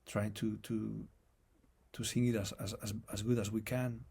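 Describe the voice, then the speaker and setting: A man with a non-native accent, speaking as an interview guest in a reflective, halting way and often restarting his phrases. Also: on the low side, little pitch movement, gravelly, darkish tone, husky. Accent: non-native English accent. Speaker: guest musician. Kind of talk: casual conversation.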